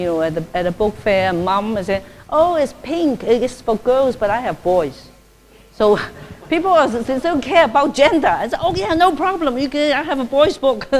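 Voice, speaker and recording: Chatty nun with a friendly lilt. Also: female voice, high voice, darkish tone, rough recording. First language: Cantonese. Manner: chatty, frequent tag "okay?", laughs easily. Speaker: Buddhist nun giving a dharma talk; publications director. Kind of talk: informal dharma talk.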